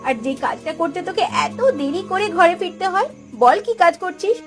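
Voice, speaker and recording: Woman playing a burdened elder sister, village cartoon melodrama, high pitch, very animated, emotional, pleading, scolding. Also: brisk, music in the background. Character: burdened, caring eldest sister running a poor village household.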